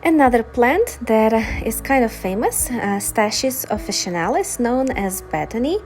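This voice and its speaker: Female gardening vlogger, native Ukrainian speaker with Ukrainian accent, unhurried delivery, enthusiastic, faint music underneath. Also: on the high side, big pitch swings, a little mellow. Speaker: gardening video host. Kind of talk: informal explanatory vlog narration.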